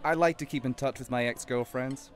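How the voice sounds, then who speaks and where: A man's voice: mid-range voice, fairly even intonation, a little fast, soft background music, lightly acted. A man playing an amiable, awkward young man on a first date in an audio play, hesitant and conversational.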